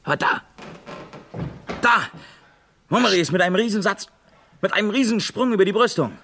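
A man's voice: high-pitched, animated, normal speed, pushed and bright, slight background noise, heavily acted. An actor playing a brash, impatient reporter in a German crime radio play, bossy and slangy as he gives an urgent account. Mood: excited urgent. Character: brash, impatient male American reporter narrating live.

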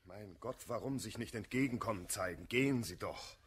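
An actor playing a reasonable, gently exasperated gentleman in a German radio verse comedy, measured and conciliatory, with a husky voice. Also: fairly low pitch. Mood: urging.

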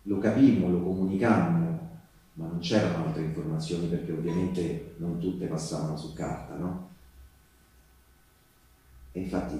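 Very reverberant stage recording: low, dark-voiced male partisan recounting the war, emphatic, with deliberate pauses. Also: a little hiss or hum. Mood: grave, confiding. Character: male wartime partisan recounting resistance memories to Teresa. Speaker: theatre storyteller. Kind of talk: live theatrical narrative monologue. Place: live theatre performance with live music. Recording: very echoey stage recording, distant, music behind.

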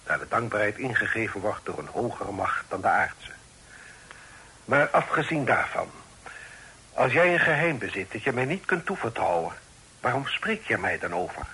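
Very husky, grave, dignified father. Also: fairly low pitch, big pitch swings, sharp tone, exaggerated voice, low-quality recording. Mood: grave, probing. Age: middle-aged. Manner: measured, solemn, formal. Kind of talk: Dutch radio play acting.

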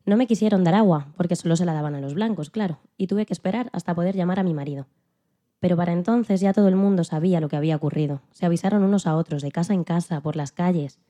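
A woman playing a dignified elderly female civil rights activist who recalls her struggle in a calm, earnest, plain-spoken way, as scripted interview storytelling. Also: fairly low pitch, fast talker, close to an everyday voice. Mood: earnest recounting. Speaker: podcast guest in a dramatized interview. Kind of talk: scripted first-person storytelling in interview form.

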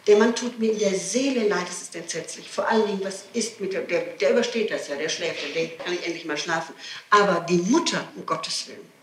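A woman's voice: lowish voice, brisk, a bit bright, reverberant, a little hiss or hum. A woman being questioned, answering spontaneously in an emphatic, pleading, insistent manner on an archival interrogation tape. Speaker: person being questioned by a prosecutor.

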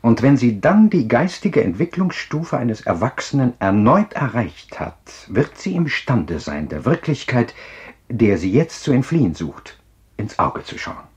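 A man playing a self-assured psychiatrist in a radio drama, explaining in a measured, courteous, husky lecture. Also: medium pitch, very animated, a little mellow, exaggerated voice. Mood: assured, explanatory. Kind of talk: German radio drama acting.

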